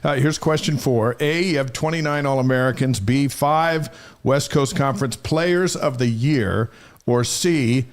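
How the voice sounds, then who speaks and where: Man hosting sports talk, medium pitch. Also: a little slow. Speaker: sports show host.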